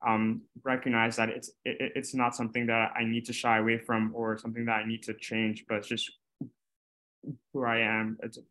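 A man's voice: mid-range voice, monotone, moderate pace, sharp tone. A young man, a student guest in an interview, speaking openly and with frequent stuttered repetitions and blocks. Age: young adult. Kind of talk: interview answers.

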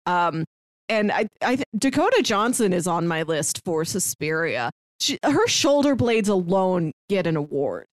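A woman's voice: lowish voice, very animated, measured pace, no room sound, clean studio sound. A woman co-hosting a podcast, talking in a chatty, enthusiastic way in a casual review conversation. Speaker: podcast co-host.

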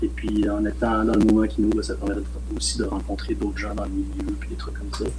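A man in his late forties with a Québécois French accent, a film director talking in a relaxed, rambling way as a podcast guest. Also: fairly low pitch, fast, slight background noise, low-quality recording. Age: late 40s. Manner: relaxed, rambling, frequent "euh" and "mettons". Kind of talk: casual podcast interview.

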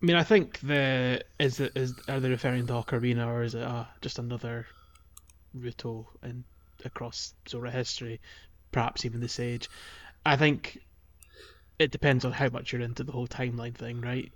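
A man's voice: medium pitch, fairly even intonation, normal speed. A man co-hosting a podcast, casually discussing video games in conversation with others. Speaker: podcast co-host.

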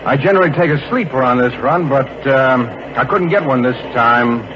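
An actor playing a chatty middle-aged traveling man, folksy and halting, in a 1940s radio drama. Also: mid-range voice, lightly acted. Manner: folksy, halting small talk. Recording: muffled, noisy 1940s radio recording.

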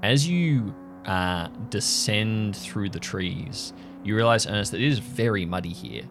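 A man with a low, gravelly voice narrates an improvised audio adventure as game master. He describes scenes in halting, atmospheric detail over a clear bed of music. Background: music under the voice.

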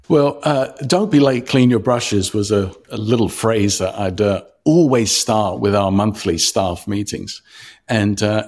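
A man, a hairdresser who chats as a podcast guest in a friendly, relaxed way with frequent fillers. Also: lowish voice. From Cyprus, raised in London. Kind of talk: casual interview chat.

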